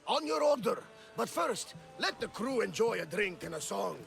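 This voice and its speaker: Hearty one-eyed lieutenant, gravelly, animated, music underneath. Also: male voice, sits high, moderate pace, heavily acted. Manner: hearty, proud, lively. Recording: game cutscene with music.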